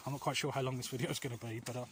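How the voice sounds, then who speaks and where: A man's voice: mid-range voice, fairly even intonation, racing, fairly bright tone. A man giving earnest, reassuring advice in a casual, rambling chat to viewers as he walks outdoors. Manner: rambling, often losing his thread. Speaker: vlog host. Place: outdoors, walking along alleys. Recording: outdoor walk with clear ambient noise. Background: outdoor ambient noise.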